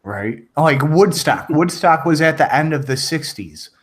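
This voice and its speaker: A man's voice: a little high, expressive, a little slow. A man co-hosting a crude casual chat show, rambling and full of fillers and swearing. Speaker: podcast co-host.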